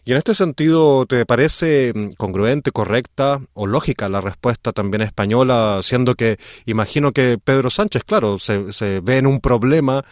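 Male interviewer, measured questions, mid voice, dry close recording. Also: medium pitch, no echo. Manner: measured, leans on tag questions.

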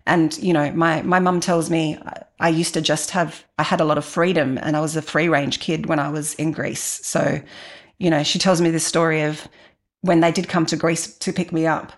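A woman in her forties speaks as an interview guest, looking back on her own life. Her voice sits low, with a marked creak at the edges. She is candid and introspective, talking in a conversational storytelling way. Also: little pitch movement, moderate pace. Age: 40s. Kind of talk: reflective personal storytelling.

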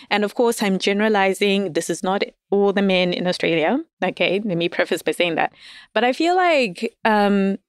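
A woman's voice: medium pitch, clear, resonant tone, no echo, studio quality. A woman from Zimbabwe chatting candidly as a podcast guest, laughing often and hedging her opinions. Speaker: guest writer. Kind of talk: casual podcast chat.

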